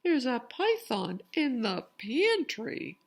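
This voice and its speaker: A woman's voice: on the high side, sing-song, slow, gravelly, pitched above the performer's own voice, full character voice. A woman voicing startled storybook villagers, exclaiming in alarm. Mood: alarmed, amazed. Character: startled villagers calling out alarmed animal sightings.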